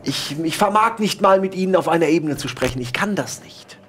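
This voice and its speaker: A man voicing a world-weary, snobbish old aristocrat who talks in a halting, condescending, aloof way. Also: a little high, lively intonation, a bit bright, a little hiss or hum.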